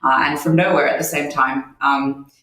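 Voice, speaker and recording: A woman's voice: very deep voice, fairly even intonation, normal speed, fairly bright tone. A woman in her thirties with an Australian accent, chatting as an interview guest with frequent ums and easy laughs. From born in New Zealand, raised in Australia. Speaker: guest, head of content. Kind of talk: casual conversation. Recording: very echoey room.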